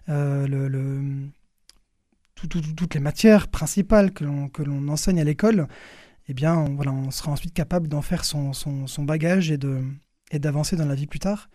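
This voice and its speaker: Young man, higher-pitched mellow voice. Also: no room sound, clean studio sound. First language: French. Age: young adult. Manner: hesitant phrasing, repeats words as he searches. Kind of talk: explanatory radio interview.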